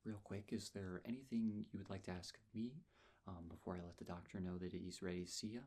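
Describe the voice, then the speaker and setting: Man, low-pitched, husky and breathy, soft-spoken ASMR roleplay, unhurried, reassuring nurse manner. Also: fairly even intonation. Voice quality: breathy, husky, often whispered. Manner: gentle, reassuring, unhurried personal attention. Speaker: ASMR performer playing a nurse.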